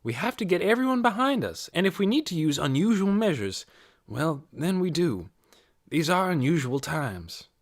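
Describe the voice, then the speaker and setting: A man's voice: a little high, big pitch swings, moderate pace, pitched above the performer's own voice. A man voicing a cheery, bossy older churchgoing woman in an audiobook, with a sugary, emphatic, self-assured way of talking. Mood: firm, insistent. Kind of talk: audiobook character dialogue.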